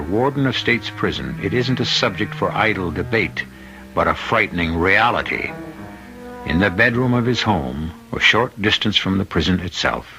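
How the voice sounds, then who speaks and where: A man narrating a radio mystery as its host, in a measured, ominous, formal manner. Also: fairly low pitch, low-quality recording. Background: music.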